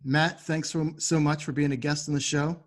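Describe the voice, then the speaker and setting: A man's voice: fairly high pitch, level tone, moderate pace. A man hosting an interview podcast, asking questions and addressing listeners. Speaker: podcast host.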